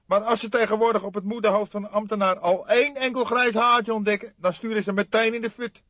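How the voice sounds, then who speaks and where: A man's voice: sits high, fairly even intonation, quick pace, pushed and bright, no echo, dull audio, pitched above the performer's own voice. A man voicing a small, jovial café owner from the underworld in an audiobook, cheerful and chummy. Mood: apologetic.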